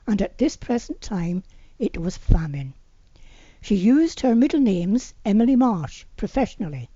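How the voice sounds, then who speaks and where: A woman's voice: medium pitch, mellow, husky, no echo, highs cut off. A woman reading her own fiction aloud as an amateur audiobook narrator, occasionally stumbling over words. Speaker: author reading their own novel aloud.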